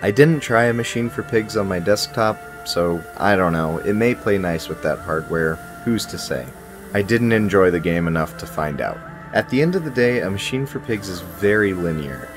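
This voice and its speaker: A man in his thirties with a fairly low voice delivers a scripted game review. His phrasing is casual, wry and emphatic. Music plays clearly behind him. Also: lively intonation, normal speed.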